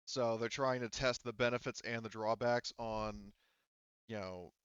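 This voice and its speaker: A man's voice: medium pitch, fairly even intonation, measured pace, smooth, no echo, muffled recording. A man acting as game master, explaining and improvising casually in a rambling, self-correcting way.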